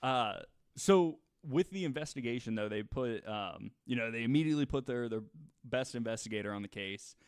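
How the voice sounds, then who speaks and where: A man tells a story as a podcast co-host in a loose, comedic chat style. His delivery is halting and often chuckly, with an average-pitched voice. The sound is dry and close, with very little room. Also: expressive, smooth, no room sound.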